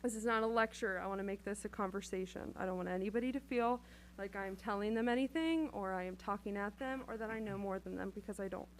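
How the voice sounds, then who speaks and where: A woman with a mid-range voice giving a prepared speech at a council meeting. She speaks earnestly and deliberately, addressing the listeners directly. A faint hum runs underneath. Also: medium pitch, low-quality recording. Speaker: council member.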